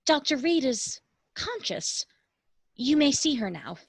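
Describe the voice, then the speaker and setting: A woman's voice: high-pitched, sing-song, slow, gravelly, very noisy, full character voice. An actress in a radio play, playing a concerned, formal woman who is polite but urgent.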